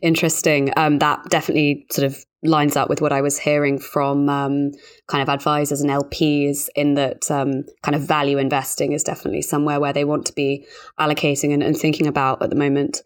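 Low-pitched woman hosting a podcast. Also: level tone, fairly quick. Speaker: podcast host and journalist. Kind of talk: scripted podcast introduction and interview discussion.

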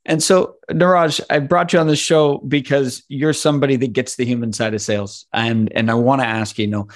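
A man's voice: mid-range voice, fairly even intonation, fairly quick. A man hosting a podcast interview, speaking thoughtfully with hesitant restarts and open enthusiasm. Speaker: podcast host.

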